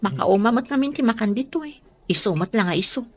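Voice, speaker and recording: A woman's voice: a little high, animated, a little fast, close to an everyday voice. An actress with an Ilocano accent playing a coy, easily irritated young working woman in a radio drama. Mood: mildly annoyed.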